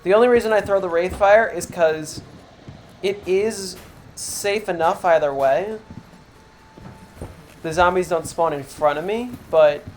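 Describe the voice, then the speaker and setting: A man in his twenties with a high tenor voice, chatting casually and thinking aloud while streaming a game. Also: measured pace, long pauses. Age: 20s. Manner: relaxed, rambling, thinks aloud. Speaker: live streamer. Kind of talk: casual chat while gaming. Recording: clear background noise.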